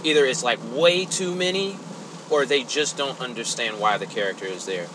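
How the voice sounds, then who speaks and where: A man's voice: mid-range voice, normal speed, noisy background. A man co-hosting a podcast, talking in a blunt, conversational, casual way. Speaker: podcast co-host.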